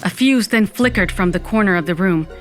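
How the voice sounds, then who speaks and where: A woman's voice: medium pitch, brisk, lightly acted. A woman playing a sardonic undead woman, narrating an audio fiction in the first person. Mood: nostalgic. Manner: wry, dramatic, peppered with Spanish exclamations. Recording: music bed under the voice.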